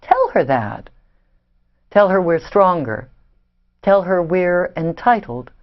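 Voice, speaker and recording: A woman's voice: fairly low pitch, animated, slow pace. An actress in a play reading, playing a defiant, hard-edged woman in an Israeli family, on a dull recording. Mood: defiant, angry.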